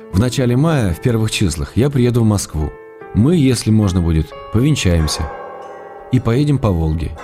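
Casting: a man playing an ailing writer in midlife, wry and intimate, lowish voice, reading love letters for radio over music. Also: close to an everyday voice. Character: ailing middle-aged writer, tender, teasing, writing love letters.

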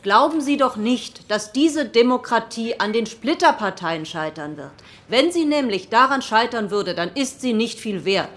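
A woman's voice: fairly high pitch, sing-song, normal speed, hardly any pauses, fairly bright tone. An actress playing a female politician who gives an earnest, rhetorical speech to parliament in a historical radio play. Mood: pointed. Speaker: parliament member. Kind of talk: historical radio drama acting.

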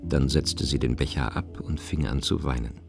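A man narrates a literary radio play in an extremely deep voice with a gravelly creak. Plucked guitar music plays behind him. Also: steady tone, normal speed.

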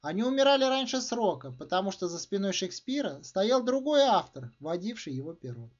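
A man reading literary fiction as its author, in expressive, dramatic narration with emphatic phrasing. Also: high voice, fairly quick. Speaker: author reading own short story. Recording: dull, muffled lo-fi sound.

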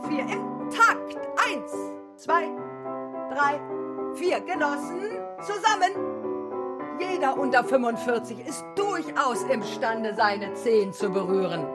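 Bossy young exercise drill lady, high voice, slow barked counts, loud piano behind, radio play. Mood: brisk, commanding. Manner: barking drill commands and rhythmic counting. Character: young sinewy female telescreen gymnastics instructor, brisk and bossy.

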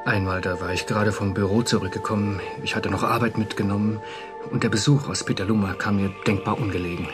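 An actor playing a conscientious, uneasy government official in a radio drama, speaking formally and hesitantly with a husky voice. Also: male voice, lowish voice, steady tone, quick pace, music in the background, close to an everyday voice. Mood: uneasy, confiding. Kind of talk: German radio drama acting.

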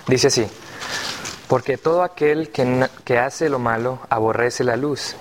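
A man in his twenties from Colombia, a theology student, speaking calmly and reading scripture aloud. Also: medium pitch, a little slow, slight background noise. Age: 20s. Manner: calm, reads scripture aloud.

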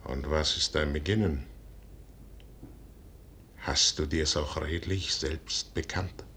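An actor playing a proud, brooding middle-aged general in a 1950s radio play, declaiming in a weighty, solemn stage manner. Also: male voice, fairly bright tone, heavily acted. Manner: declamatory, weighty, solemn delivery with measured pauses. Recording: noisy 1950s radio recording with rumble.

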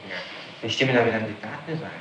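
A man's voice: lowish voice, fast talker, fairly bright tone. A man questioning a suspect as prosecutor, in a formal, methodical and pressing official manner. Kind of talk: formal interrogation. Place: echoey room, archival interrogation tape. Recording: noisy, roomy archival 1960s tape recording.

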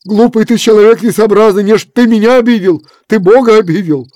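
A man's voice: mid-range voice, expressive, moderate pace, dark tone, slightly rough, no room sound. A man voicing a pious old wandering peasant who talks insistently and lectures in plain, moralizing terms. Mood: stern reproach.

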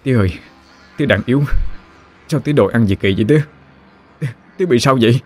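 A man's voice: lowish voice, measured pace, dark tone, soft background music. A man with a Southern Vietnamese accent voicing a terrified young man pleading with his father in panicked, breathless bursts.

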